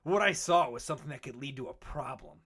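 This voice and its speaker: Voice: medium pitch, expressive, quick pace, a bit bright, husky. A voice actor playing a brash, cynical veteran Pokemon explorer in a comic dub, talking in a cocky, slangy, needling way. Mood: cocky, teasing. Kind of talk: animated comic dub acting.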